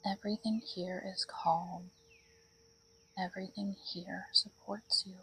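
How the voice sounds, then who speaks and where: A woman leading a guided meditation in a soothing, breathy voice that often drops toward a whisper. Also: mid-range voice, fairly even intonation, unhurried, fairly bright tone, a little hiss or hum, rough recording.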